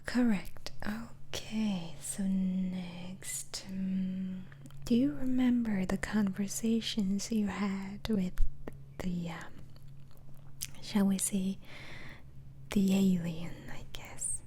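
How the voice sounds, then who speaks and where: A woman whispering softly and breathily in an ASMR roleplay as a gentle, reassuring doctor, asking questions in a hesitant way. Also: medium pitch, steady tone, extremely slow, slight background noise. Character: calm, reassuring asylum doctor questioning a patient.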